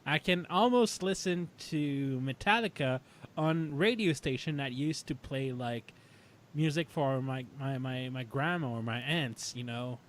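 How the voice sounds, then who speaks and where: A man's voice: medium pitch, a little slow, a little hiss or hum. A man co-hosting a podcast in casual chat, often stumbling and repeating words as he goes. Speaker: podcast co-host.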